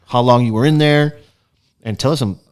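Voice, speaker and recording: A man's voice: mid-range voice, moderate pace, clean tone. A man with a mid-range voice hosts a podcast in an upbeat, chatty way. He welcomes his guest and asks questions from a small office studio. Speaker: podcast host. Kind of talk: casual podcast hosting.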